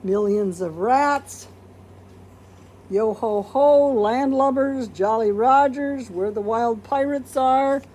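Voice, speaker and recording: A woman's voice: on the high side, takes it slowly, mellow. A woman reading a children's picture book aloud expressively, acting out quoted words and putting questions to listeners. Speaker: storybook reader.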